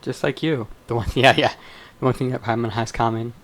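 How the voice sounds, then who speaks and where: A man's voice: medium pitch, steady tone, a little fast, heavy background noise. A man making short, casual, offhand comments while playing a game. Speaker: gamer reacting during playthrough. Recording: loud game music behind voice.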